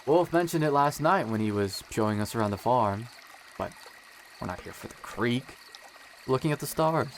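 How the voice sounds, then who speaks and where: A man playing a tender, earnest young emperor in a romantic audio drama, gentle and sincere, with gentle teasing. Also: medium pitch, lively intonation, moderate pace, lightly acted. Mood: playful, relaxed. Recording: clear noise with trickling water behind. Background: running creek water.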